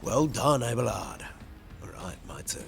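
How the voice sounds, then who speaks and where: A man's voice: mid-range voice, sing-song, normal speed, vocal fry, a little hiss or hum. A man commentating over his playthrough of a strategy game, musing aloud and talking to the characters, with game music behind him. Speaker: gaming channel host.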